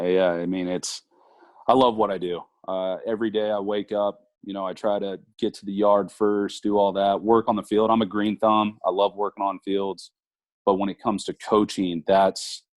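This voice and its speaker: A man's voice: low voice, level tone, normal speed, no room sound. A man in his thirties, a college baseball coach, talking earnestly in an interview with many fillers and restarts. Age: 30s. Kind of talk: conversational interview.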